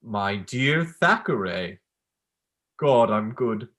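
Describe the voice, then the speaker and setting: A man's voice: fairly low pitch, expressive, drawn-out words, a bit bright, heavily acted. Young man acting a smug academic, swooping intonation. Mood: self-satisfied. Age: young adult.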